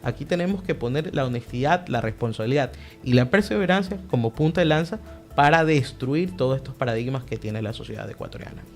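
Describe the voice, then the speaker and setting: A man's voice: medium pitch, expressive, brisk, faint music behind. A young man, answering a radio interview in an emphatic, speech-like way. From Ecuador. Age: young adult. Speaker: political guest, party director.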